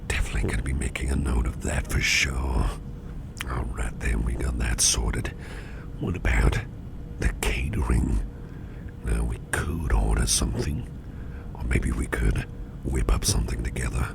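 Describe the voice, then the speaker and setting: A man playing an attentive, easygoing businessman boss in a soft, breathy, whispery ASMR roleplay, unhurried and soothing, murmuring close to the microphone. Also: background noise, heavily acted. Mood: warm, indulgent. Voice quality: very low, gravelly, breathy near-whisper. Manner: unhurried, soothing, indulgent, musing aloud.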